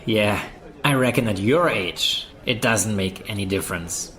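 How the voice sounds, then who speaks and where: A man's voice: on the low side, animated, measured pace, a bit bright. A man acting in an audio drama as a cynical, blunt doomsayer who believes internet rumors. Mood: mocking. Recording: voices of a crowd behind.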